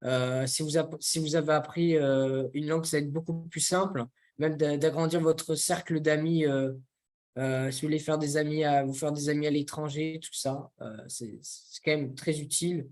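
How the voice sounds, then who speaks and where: A young man from France, co-hosting a casual discussion and often pausing to search for words. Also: on the high side, fairly even intonation, a little mellow. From France, near Toulouse. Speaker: co-host.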